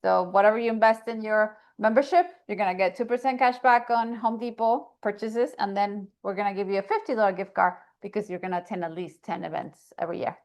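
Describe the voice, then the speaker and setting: A woman's voice: medium pitch, fairly even intonation, fairly quick, few pauses. Woman with a Colombian accent. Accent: Colombian Spanish. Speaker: association staff member. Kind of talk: online meeting announcements.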